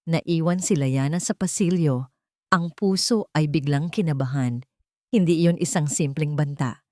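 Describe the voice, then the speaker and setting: Female storyteller, low register, dry close sound, scripted drama narration. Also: unhurried, dark tone, dry recording.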